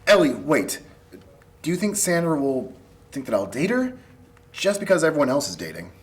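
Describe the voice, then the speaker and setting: A man's voice: on the high side, sing-song, moderate pace. A young man reading the part of an anxious, sardonic college student at a script table read. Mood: nervous, pleading. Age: young adult.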